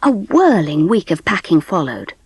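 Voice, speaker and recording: A woman playing a gentle middle-class mother who narrates her family's story in a dramatised audiobook. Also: lowish voice, big pitch swings, moderate pace, slightly rough, no room sound, full character voice. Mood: sombre, steady.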